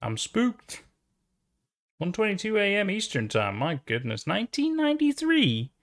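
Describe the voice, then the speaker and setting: A man gives casual reaction commentary as a video host. His voice is creaky and swings widely in pitch between short muttered asides. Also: wildly swooping pitch, measured pace, fairly bright tone.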